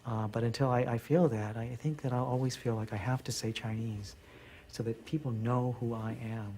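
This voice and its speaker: A man facilitating a group discussion, speaking in an earnest, measured way. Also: medium pitch, fairly even intonation, dark tone, slight background noise.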